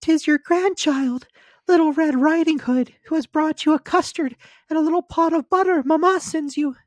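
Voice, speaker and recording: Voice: sits high, normal speed, no room sound, voice pushed up, lightly acted. A man voicing an innocent, naive little country girl in a fairy tale, trusting and wide-eyed with a singsong delivery. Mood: polite.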